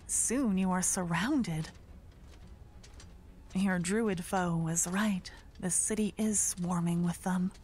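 Slow, hushed woman narrating fantasy ASMR. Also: lowish voice, slight background noise. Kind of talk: second-person scripted fantasy narration, ASMR.

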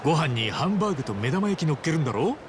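Chummy, rough-talking guy, lively pitch. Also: very fast, background noise. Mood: easygoing, upbeat. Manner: casual, rough street-style slang, chummy. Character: friendly, rough-spoken, big-hearted grown man adventurer. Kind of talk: Japanese video game cutscene acting.

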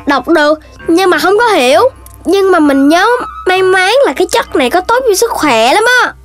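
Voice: very high voice, expressive, normal speed, fairly bright tone. An actor voicing an eager, childlike young animal friend in a children's cartoon, with a chirpy, exclamatory way of talking. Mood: unsure. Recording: music behind the voice.

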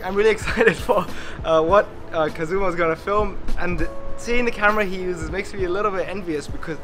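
Man, twenties, high voice, casual chatty vlog, outdoor rooftop, camera mic, music underneath. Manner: chatty and upbeat, laughs often. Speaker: vlogger, parkour filmmaker.

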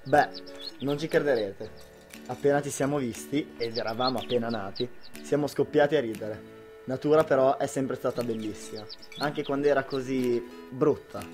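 A man's voice: mid-range voice, normal speed, over music, lightly acted. A young man, a student actor playing a boastful, lovestruck, hot-headed husband in an amateur radio drama. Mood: fond, storytelling. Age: young adult.

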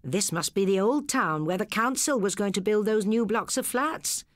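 Eager young English boy, very animated, voiced by a woman. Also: medium pitch, moderate pace, no room sound, clean studio sound, pitched above the performer's own voice, close to an everyday voice.

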